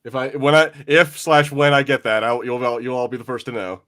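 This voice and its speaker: A man speaks in a bright, punchy voice at a quick clip. He rambles excitedly through casual podcast chat and swears freely. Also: medium pitch. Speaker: podcast host.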